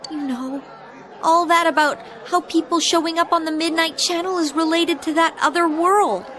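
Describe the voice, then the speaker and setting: An American woman voicing a feisty, excitable teenage schoolgirl in a video game, speaking in an emphatic, informal way. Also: very high-pitched, normal speed. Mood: anxious. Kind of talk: English video game voice acting. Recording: background music and crowd chatter behind.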